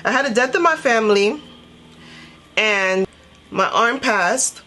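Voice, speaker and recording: A woman from Trinidad and Tobago chatting casually as a beauty vlogger, telling a personal story in an animated, conversational way. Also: fairly high pitch, takes it slowly, slight background noise. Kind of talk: casual chatty storytelling while doing makeup.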